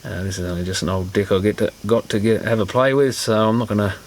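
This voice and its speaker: A man's voice: on the low side, fairly even intonation, fast talker. A man giving casual hands-on repair commentary, muttering to himself as he works. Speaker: repair video presenter.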